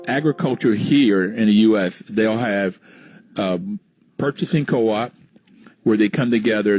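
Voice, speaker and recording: A man's voice: fairly low pitch, darkish tone, dry recording, low-quality recording. An American man from West Virginia hosting a conversational radio interview, asking questions and explaining points to listeners. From West Virginia, United States. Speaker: radio show host, interviewer.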